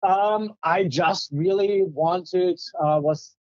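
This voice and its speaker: A man's voice: high voice, very slow, no echo, highs cut off. Chatty German-accented man telling stories. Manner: chatty, laughs while telling stories, many fillers. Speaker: guest, company founder. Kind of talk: casual storytelling interview.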